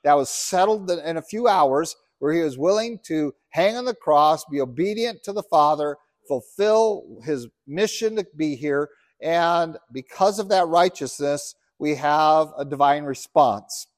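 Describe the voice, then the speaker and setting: A man's voice: on the high side, expressive, measured pace, no room sound. A middle-aged man from Uganda preaching a sermon as pastor, earnest, rising to emphatic scripture reading. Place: church.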